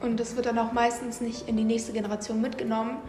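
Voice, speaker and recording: Woman, higher flat voice, lecturing tone, echoey room with faint music. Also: fast. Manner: explains matter-of-factly, lecturing tone. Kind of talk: explanatory discussion.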